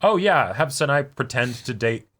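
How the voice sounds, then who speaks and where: A man co-hosting a casual reaction discussion, repeating words haltingly as he works out his thoughts. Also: medium pitch, sing-song, a bit bright. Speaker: co-host of anime reaction show.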